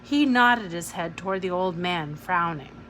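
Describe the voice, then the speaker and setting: A woman's voice: lowish voice, animated, unhurried, fairly bright tone. A woman narrating a storybook aloud in the first person. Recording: noisy home recording.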